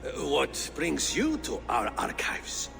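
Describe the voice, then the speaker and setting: An actor playing a courteous, worried lord of a medieval town in a video game, polite and a little hesitant. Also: male voice, a little high, huge pitch swings, takes it slowly, creaky, long reverb tail, over music, heavily acted. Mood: courteous, concerned.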